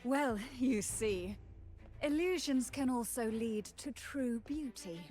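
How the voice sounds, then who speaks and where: An actress playing an enigmatic, composed noblewoman with mystical powers in a video game, measured and formal. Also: on the high side, expressive, a little mellow, soft background music. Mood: solemn. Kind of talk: dramatic video game voice acting.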